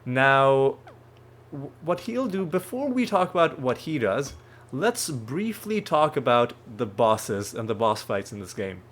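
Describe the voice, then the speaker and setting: A man's voice: mid-range voice, very animated, unhurried, fairly bright tone. A man hosting a let's play, explaining the game in a rambling, self-correcting way, with a slightly nasal voice as he gets over a cold. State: recovering from a cold. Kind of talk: casual gameplay commentary. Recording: faint background music.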